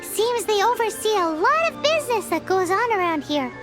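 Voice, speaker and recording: A woman voicing a tiny, childlike, cheeky fairy companion in a video game, blunt and teasing, with a squeaky voice. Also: very animated, normal speed. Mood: puzzled. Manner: speaks of herself in third person, blunt, teasing. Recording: music loud behind the voice.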